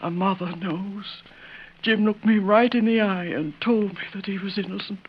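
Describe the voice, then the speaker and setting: Frontier mother, played by a woman: older, earnest, anguished, medium pitch, muffled old radio melodrama. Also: normal speed, a little hiss or hum, lightly acted. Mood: insistent. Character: devoted, anguished older mother of condemned son.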